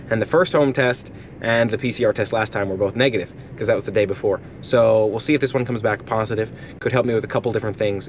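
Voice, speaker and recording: Man, congested, low-pitched, casual upbeat vlog talk. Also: a little hiss or hum. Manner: upbeat, chatty and joking. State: congested, stuffy nose. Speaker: vlogger. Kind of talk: casual video diary. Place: inside a car. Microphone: phone mounted on the steering wheel.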